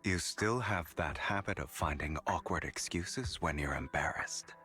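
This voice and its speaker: Smooth showy con man in a game, slow and dramatic, bright tone. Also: deep voice, soft background music. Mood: theatrical. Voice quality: smooth, dramatic, sometimes whispering. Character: suave theatrical male master con artist. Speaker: voice actor. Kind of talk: game cutscene acting.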